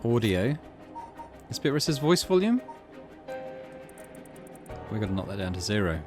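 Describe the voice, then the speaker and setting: A man's voice: fairly low pitch, very animated, moderate pace, over music. A man giving casual let's play commentary, musing and thinking aloud in questions. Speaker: gaming video host.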